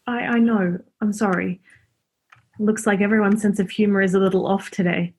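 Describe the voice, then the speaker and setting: A woman's voice: medium pitch, steady tone, moderate pace, a little mellow, slightly rough. An actress in a radio play playing a teasing, blunt young woman, a devoted girlfriend and sister, in a naturalistic style. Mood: reassuring.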